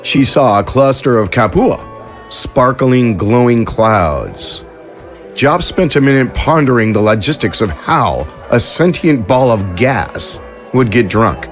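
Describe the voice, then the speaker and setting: A man narrating a science fiction story in a measured, even storytelling manner. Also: medium pitch, soft background music. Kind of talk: scripted audiobook narration.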